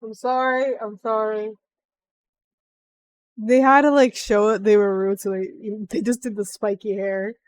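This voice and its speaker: A woman's voice: medium pitch, steady tone, measured pace, smooth, no room sound. A woman streamer reacting to a video in casual chat, blunt and informal with slangy swearing.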